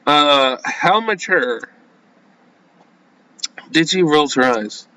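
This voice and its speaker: A man hosting a gaming video, reading a visual novel aloud and breaking off into flustered, halting, slangy reactions. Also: on the high side, animated, pushed and bright, rough recording. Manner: flustered, halting, self-censoring with casual slang.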